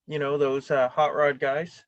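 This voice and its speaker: A man hosting a podcast and chatting casually, easygoing and a little halting as he talks. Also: on the high side, little pitch movement, dry recording, muffled recording. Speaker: podcast host, interviewer. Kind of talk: casual chat.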